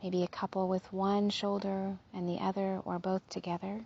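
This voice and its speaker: A woman leading a guided body scan meditation in a gentle, soothing, unhurried manner, with a soft, breathy, slightly husky voice. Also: medium pitch, level tone, muffled recording.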